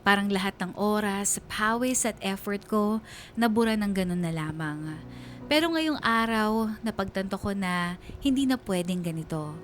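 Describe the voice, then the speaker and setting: A woman's voice: medium pitch, normal speed, natural voice. Actress as earnest female radio drama narrator, music underneath. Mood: wounded. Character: hurt but resolute woman narrating her letter. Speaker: letter-sender narrator. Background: background music.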